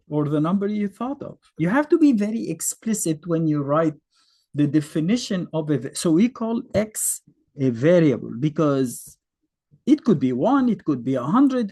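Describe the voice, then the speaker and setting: A man's voice: fairly high pitch, warm tone. A man in his fifties from Egypt, a native Arabic speaker with an Egyptian accent, teaching a beginner math lesson in a casual, conversational way with rhetorical questions and fillers.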